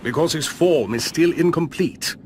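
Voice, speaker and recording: Game role: man as composed learned sage, deliberate, formal, faint noise behind. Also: on the high side, close to an everyday voice. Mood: grave. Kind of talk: dramatic video game cutscene acting.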